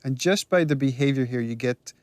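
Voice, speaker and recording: A man's voice: mid-range voice, fairly quick, no echo. A man giving conversational commentary on game cutscenes as their director, analytical and full of restarts.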